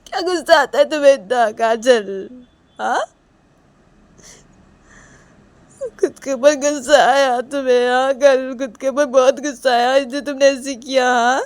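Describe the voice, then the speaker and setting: A woman's voice: medium pitch, moderate pace, pauses often, no echo, voice pushed up, lightly acted. A woman voicing an upset young woman who scolds out of care, insistently repeating her phrases in an improvised dramatic story. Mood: hurt, reproachful.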